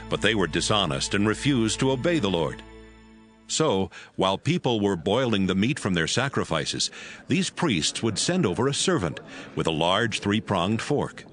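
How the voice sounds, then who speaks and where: A man narrating dramatized scripture from a script, telling it as a measured story. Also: fairly low pitch, fairly bright tone.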